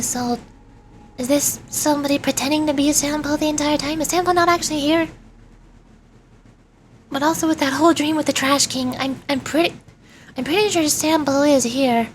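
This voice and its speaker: A woman doing casual livestream commentary as a game streamer, expressive and playfully dramatic. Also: high voice, a little hiss or hum.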